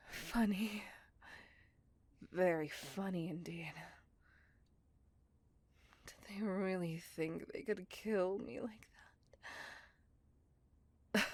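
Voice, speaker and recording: An ancient female vampire acted by a woman speaks in a very slow, breathy whisper. She is obsessively loving with an edge of menace, drawing out her words in an intimate ASMR roleplay. The sound is close and dry. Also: fairly low pitch, no echo, heavily acted. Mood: bitter, scornful. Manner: slow, intimate, lingering delivery with sighs. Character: possessive five-hundred-year-old female vampire, obsessively loving, menacing.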